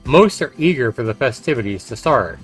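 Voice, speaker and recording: A male streamer with a mid-pitched voice reads a visual novel aloud. His delivery is halting, with small self-corrections and casual asides, over faint game music. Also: very animated, a bit bright.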